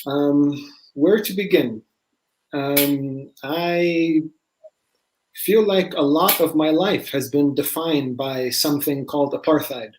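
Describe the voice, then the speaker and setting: A man speaks as an interview guest. His voice is a touch higher than usual for a man, and he speaks in a measured, courteous manner. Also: a little mellow, a little hiss or hum, poor audio. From United States. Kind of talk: interview answer.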